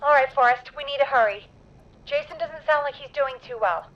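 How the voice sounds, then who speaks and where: A woman playing a practical, concerned radio show colleague in a horror game, heard through a filtered line. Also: high-pitched. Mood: urgent, curious. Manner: brisk, practical. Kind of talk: dramatic horror game voice acting. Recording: dull, filtered line with music.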